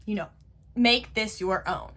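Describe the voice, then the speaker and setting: A woman's voice: medium pitch, unhurried, fairly bright tone. A woman art teacher talking young children through a step-by-step drawing tutorial in simple, encouraging phrases. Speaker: elementary school art teacher.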